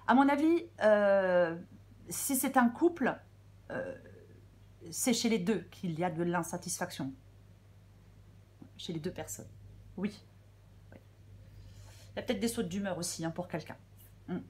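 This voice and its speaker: A woman with a French accent gives a casual tarot reading, her voice a little hoarse and husky. She speaks in a fairly low register, thinking aloud with frequent fillers, pauses and restarts. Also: darkish tone. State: slightly hoarse. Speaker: tarot reader.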